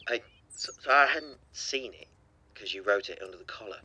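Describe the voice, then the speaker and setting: Bright-voiced, awkward teenage boy. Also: fairly low pitch, animated, husky, full character voice, low-quality recording. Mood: nervous, hesitant. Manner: hesitant, stammering, halting. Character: awkward, tender teenage schoolboy, shy and unsure. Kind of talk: naturalistic audio drama dialogue.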